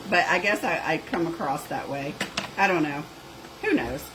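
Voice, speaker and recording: Middle-aged Southern woman, craft host, lower voice, drawling chatter, background noise. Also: lively intonation, normal speed. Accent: Southern US, North Georgia. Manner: chatty, drawling, says "y'all" and "girl". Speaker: craft shop owner and video host. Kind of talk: casual crafting livestream chat.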